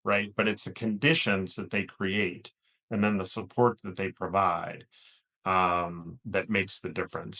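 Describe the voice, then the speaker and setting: An American man speaking as an executive guest in an interview, earnest and measured, often rephrasing as he explains. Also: sits low, a bit bright. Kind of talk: thoughtful interview answer.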